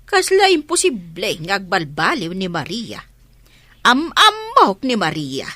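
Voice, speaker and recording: A woman's voice: medium pitch, huge pitch swings, unhurried, sharp tone, no echo, exaggerated voice. A woman with an Ilocano accent playing an older, sensible mother who questions and reasons, in an audio drama. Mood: questioning. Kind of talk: Ilocano radio drama acting.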